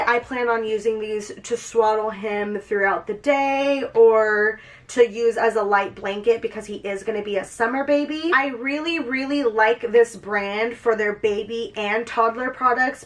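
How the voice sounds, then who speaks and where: A woman's voice: a little high, a bit bright. A young woman vlogging at home, chatty and upbeat, her voice a little stuffy and nasal from a cold. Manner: chatty, upbeat, talks straight to camera. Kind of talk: casual product walkthrough.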